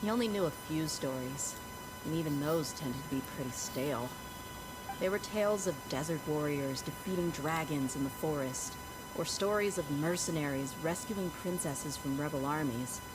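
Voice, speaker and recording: A woman playing a loyal, frank young desert mercenary in a video game, with loud music behind her. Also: sits low, moderate pace, natural voice, poor audio. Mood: wry, reminiscing.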